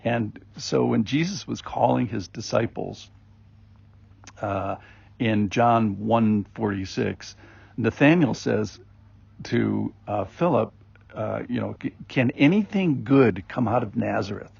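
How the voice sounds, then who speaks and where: A man giving an informal daily Bible reflection as a podcast host, rambling and conversational with many ums and restarts, in a gravelly, husky voice. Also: fairly low pitch, slow pace, darkish tone, no echo. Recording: dull lo-fi recording.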